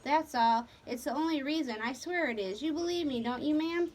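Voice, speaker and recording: A woman's voice: sits high, normal speed, a little mellow, slight background noise, pitched above the performer's own voice, natural voice. A woman voicing an earnest, deferential man in a storybook, pleading to be believed.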